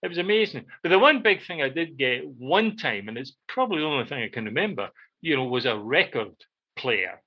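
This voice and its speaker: A man's voice: medium pitch, very animated. Rambling Scottish man on dull lo-fi audio. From Scotland. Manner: rambling, halting, frequent "you know". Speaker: podcast co-host. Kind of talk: casual reminiscing chat. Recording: dull, lo-fi band-limited audio.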